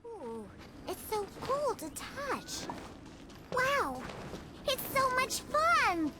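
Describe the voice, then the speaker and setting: A woman voicing a small, childlike, excitable fairy companion in a video game, squeaky and exclamatory. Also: full character voice. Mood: excited. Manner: refers to self in third person, exclamatory.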